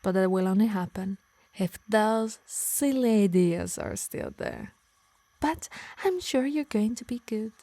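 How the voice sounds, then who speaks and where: A woman voicing a possessive, doting yet menacing harpy in an intimate ASMR roleplay, teasing and coaxing softly, often in whispers. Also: medium pitch, animated, a little slow, no echo. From Italy. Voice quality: breathy, soft. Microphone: close, dry microphone.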